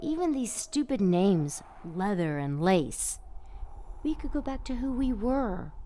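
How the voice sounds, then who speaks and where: A woman's voice: medium pitch, big pitch swings, measured pace, warm tone, a little hiss or hum. An actress playing a rebellious, lustful female demon in an audio drama, sardonic and teasing, purring some words breathily. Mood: seductive, persuasive.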